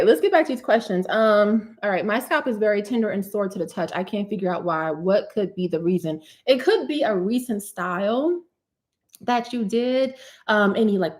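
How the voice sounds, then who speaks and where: A woman in her thirties hosting a live hair care Q&A, chatty and animated, calling viewers honey and sis. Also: a little high. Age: 30s. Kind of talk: live stream Q&A, casual chat.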